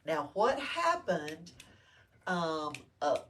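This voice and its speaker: A woman reads a story to children in an expressive, slightly low voice that swings widely in pitch. She prompts and explains like a teacher. Faint room noise sits behind her. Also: extremely slow. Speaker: library story-time reader. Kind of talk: read-aloud to children with questions.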